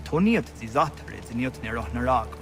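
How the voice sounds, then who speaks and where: An actor playing a solemn, earnest villager in a historical video game, speaking in measured dramatic dialogue. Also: male voice, medium pitch, lively intonation, vocal fry, over music.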